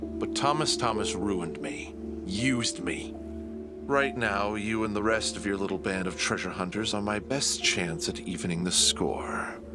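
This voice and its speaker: Low, menacing villain, acted by a man. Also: loud music behind the voice, close to an everyday voice. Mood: cold, scheming. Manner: measured, menacing, formal, condescending. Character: cunning, proud villain, reluctant ally to his nemesis. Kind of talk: audio drama acting.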